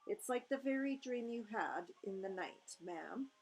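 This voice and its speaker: A woman's voice: a little high, lively intonation, unhurried, a little mellow, faint music behind, pitched above the performer's own voice. A woman reading aloud and voicing an older palace maid who speaks in a deferential, quivering way. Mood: anxious.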